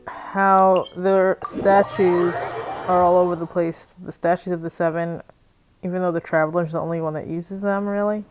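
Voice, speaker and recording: A woman's voice: on the low side, flat delivery, normal speed, rough recording. A woman chatting casually as she plays a game, musing aloud and reacting to what happens. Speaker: gameplay video creator. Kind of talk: casual commentary over gameplay. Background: game music.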